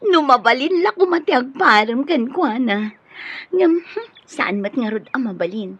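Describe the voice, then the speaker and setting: A giggly young woman in a radio drama, chatty and lovestruck, with an Ilocano accent. Her voice is high and lively, and she draws her words out slowly. The sound is somewhat dull. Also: breathy edge, dry recording, full character voice. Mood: giddy, swooning. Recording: dull lo-fi audio, faint background noise.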